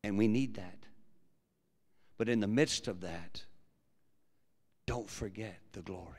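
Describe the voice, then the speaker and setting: A pastor preaching a sermon with a big, projecting voice that swings from quiet low musing to loud fervent preaching. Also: male voice, takes it slowly, slightly rough. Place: large church hall. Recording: long hall reverb.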